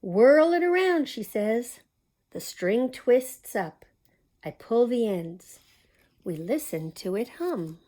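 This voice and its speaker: Woman, children's storytime reader, soft mellow tone, lively rise and fall in pitch, expressive read-aloud. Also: takes it slowly. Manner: expressive, addressing young children with small asides. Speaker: storytime reader at a community library.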